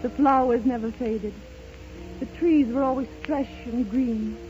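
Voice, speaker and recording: A woman's voice: fairly high pitch, fairly even intonation, unhurried, natural voice. An actress playing a serene, rapturous bedridden blind woman in a 1930s radio drama, speaking with lyrical, theatrical fervor. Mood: tender, serene. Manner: theatrical, impassioned, lyrical declamation. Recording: muffled 1930s radio broadcast, loud music.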